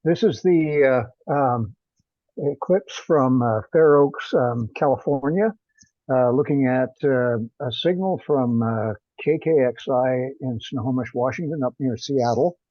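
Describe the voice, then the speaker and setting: A man giving an informal technical presentation on a conference call, with hesitant, halting delivery. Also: mid-range voice, warm tone, no room sound. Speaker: presenter of research findings. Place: teleconference. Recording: dull, dry, band-limited conference call.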